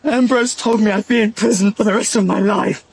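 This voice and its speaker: Young british guy, hopeless and guilty, husky light high voice, radio drama. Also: steady tone, brisk, no echo, heavily acted. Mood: despairing. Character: despairing, guilt-ridden young man, resigned to death. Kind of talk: full-cast audio drama acting.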